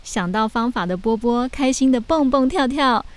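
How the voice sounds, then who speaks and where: A woman narrating a scripted children's story in an expressive storytelling manner. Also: fairly high pitch, normal speed.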